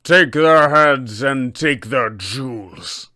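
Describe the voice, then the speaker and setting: A man voicing a vain, greedy, haughty pirate captain in a tabletop adventure, with grandiose, drawn-out delivery. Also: medium pitch, lively intonation, bright, forceful tone, no echo, studio quality. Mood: haughty, menacing.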